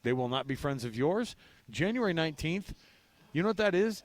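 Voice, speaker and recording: A man's voice: a little high, brisk, dry recording. American man talking sports, very dry sound. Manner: animated, rhetorical questions, repeats phrases for emphasis. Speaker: sports radio co-host. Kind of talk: casual sports radio talk.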